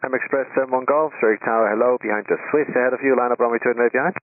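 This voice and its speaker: A man working as an air traffic controller, giving brisk, procedural clipped instructions over a radio. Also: medium pitch, steady tone, no echo. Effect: radio transmission. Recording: tinny narrowband aviation radio.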